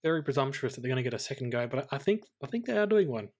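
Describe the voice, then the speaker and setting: Male Australian co-host, middle register, conversational film chat, measured delivery that works through thoughts aloud. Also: medium pitch, expressive, a little mellow. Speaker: podcast co-host. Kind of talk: casual film discussion.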